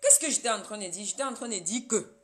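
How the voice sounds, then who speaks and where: A woman's voice: medium pitch, animated, quick pace. A woman from Cameroon, a Yemba speaker, hosting a casual live stream and talking straight to her viewers.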